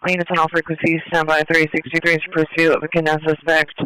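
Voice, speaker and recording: A man's voice: a little high, monotone, fast, bright, forceful tone, smooth, no room sound, low-quality recording. A man working as a police radio dispatcher, relaying pursuit updates in a clipped, procedural way.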